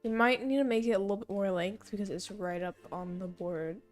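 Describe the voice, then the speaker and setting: A young woman streaming a zoo-building game, thinking aloud and correcting herself as she goes, her voice slightly hoarse and fading. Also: mid-range voice, steady tone, fairly quick, soft background music. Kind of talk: casual gameplay commentary.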